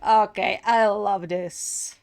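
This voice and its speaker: A woman hosting a gaming reaction video, commenting casually in an expressive, halting, giggly way. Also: medium pitch. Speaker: reaction video host, gamer.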